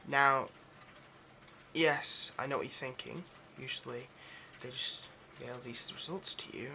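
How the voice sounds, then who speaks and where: A man's voice: mid-range voice, a little slow, vocal fry, sharp tone, slight background noise, exaggerated voice. A man playing a nervous, obsessive vampire lab technician in an intimate ASMR roleplay, with hesitant, stammering, overly polite delivery. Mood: polite, awkward.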